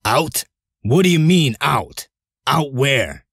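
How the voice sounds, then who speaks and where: A man's voice: medium pitch, animated, slow pace, fairly bright tone, no echo, studio quality, full character voice. A man voicing a stern, shrewd leader of a covert break-in in a comic dub, giving curt, authoritative orders. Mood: alarmed.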